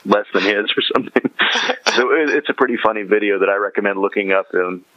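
A man's voice: on the low side, fast talker, no echo, lo-fi sound. A man chatting casually as a podcast guest, slangy and often chuckling as he retells things. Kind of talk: casual chat, animated retelling.

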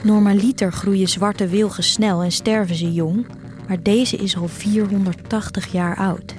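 A woman's voice: mid-range voice, steady tone, measured pace, over music, natural voice. A woman playing a yearning teenage girl who tells her own story in a scripted audio drama. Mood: reflective, intimate. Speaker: narrator and voice actor of an audio drama. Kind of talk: scripted first-person narration, audio drama.

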